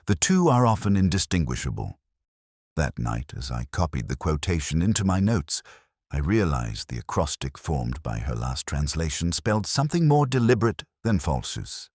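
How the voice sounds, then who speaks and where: A man reading a first-person detective story in measured, deliberate, clipped phrases. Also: a bass voice, no room sound, studio quality. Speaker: narrator.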